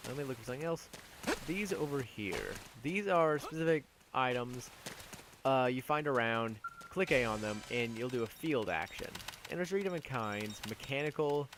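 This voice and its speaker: A man's voice: medium pitch, lively intonation, moderate pace, noisy background. A man giving casual, rambling gameplay commentary as a video host, with a self-deprecating manner.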